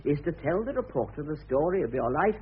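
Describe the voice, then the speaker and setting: Glib, high-pitched scheming press agent. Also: male voice, sing-song, fast, slight background noise. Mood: persuasive. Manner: smooth-talking, emphatic, pushy. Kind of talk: radio play acting. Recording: muffled, tinny old radio drama recording.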